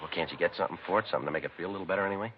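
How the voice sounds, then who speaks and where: Radio drama police detective, played by a male actor: American, dry, clipped, rapid-fire, on a dull, hissy vintage recording. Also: deep voice, natural voice. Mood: dry, patient. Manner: clipped, deadpan, matter-of-fact. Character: male homicide police detective, terse, even-tempered, dry. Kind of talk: 1950s radio acting, scripted narration and dialogue.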